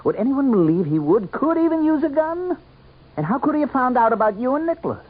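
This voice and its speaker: A man's voice: very high voice, very animated, fairly quick, full character voice. An actor playing a suave, mocking minor demon who passes for a man, with urbane, theatrical politeness in a radio mystery drama. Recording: muffled, band-limited old radio broadcast with noise.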